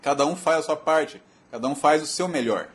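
A Brazilian man with a slightly husky voice giving a technical presentation in a halting, repetitive, explanatory way. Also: a little high. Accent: Brazilian Portuguese. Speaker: technical speaker. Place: auditorium.

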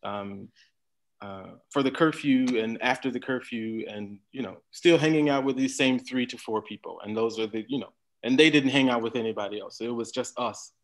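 A man on a video call speaks at a middle pitch in a relaxed, conversational way. His sentences drift and trail off as he circles his point. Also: moderate pace. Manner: casual, loose, trailing phrases. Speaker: panel guest. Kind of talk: panel discussion over video call.

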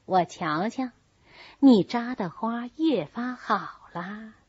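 A woman reading a novel voices an older, scheming wife of a wealthy master, speaking in a soft, coaxing manner. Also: on the high side, lively intonation, slow pace, breathy edge, no room sound, lo-fi sound, exaggerated voice. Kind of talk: storybook character reading.

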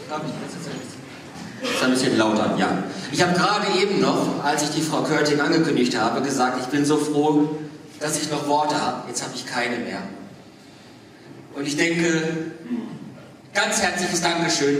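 A man's voice: a little high, moderate pace, fairly bright tone. A man hosting informally on stage as the moderator of a fan convention. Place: large hall stage, recorded from the audience. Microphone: distant camera picking up PA. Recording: echoey hall, distant, audience noise.

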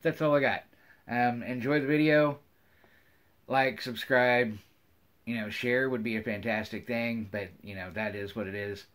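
A man's voice: medium pitch, steady tone, unhurried, a bit bright. A middle-aged man with an American accent chats casually to viewers as a hobby vlogger, rambling in a folksy way and often repeating his phrases. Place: wood-paneled room at home, indoors.

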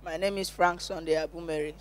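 15 yr old nigerian boy reciting testimony, highish voice, monotone. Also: fairly quick, a little hiss or hum. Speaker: student giving testimony.

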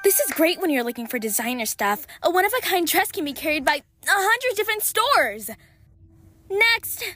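A woman playing a vain, excitable teenage fairy girl in a cartoon fandub, with a theatrical, bossy manner. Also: extremely high pitch, normal speed, no room sound, faint music behind, exaggerated voice. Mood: excited. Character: bubbly, vain teenage fairy girl, fashion-mad and feisty.

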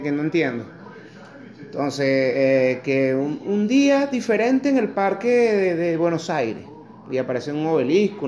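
A man's voice: a little high, a little mellow. A man in his forties with a Venezuelan accent, recording an angry, blunt opinion video to camera at home, swearing and mocking with sarcastic asides. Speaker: vlogger. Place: kitchen at home indoors. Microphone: phone camera held close. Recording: echoey room on phone.

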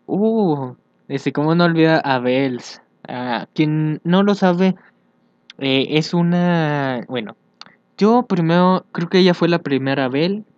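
A young man chatting casually as he reads social media aloud for a vlog, hesitant and thinking out loud. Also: fairly high pitch, no echo. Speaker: fandub YouTuber. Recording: dull, lo-fi home microphone.

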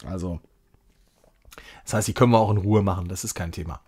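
A man's voice: deep voice, fairly even intonation, brisk, darkish tone, husky. A man doing casual, jokey live-stream commentary as he plays a game, chatty and self-ironic. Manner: jokey, chatty, self-ironic, slipping into mock-grand English.

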